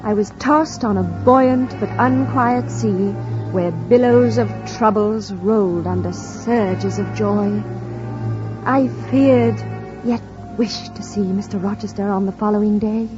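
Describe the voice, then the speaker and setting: An actress playing an earnest, composed young governess in an old radio drama, speaking with a refined British accent and careful, formal phrasing. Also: female voice, mid-range voice, slow pace, natural voice. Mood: reflective. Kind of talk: 1940s radio drama narration and acting. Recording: dull old radio recording. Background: music.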